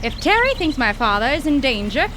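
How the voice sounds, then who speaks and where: High lively woman voicing a no-nonsense gal in a radio play, folksy talk, noisy outdoors. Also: heavily acted. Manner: folksy sayings, brisk and impatient. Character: brisk, bold young woman, impatient adventurer.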